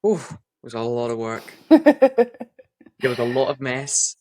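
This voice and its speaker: A young man from the west coast of Scotland with a light Scottish accent, chatting as a relaxed, modest podcast guest over a video call. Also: medium pitch, slow pace. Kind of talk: casual chat.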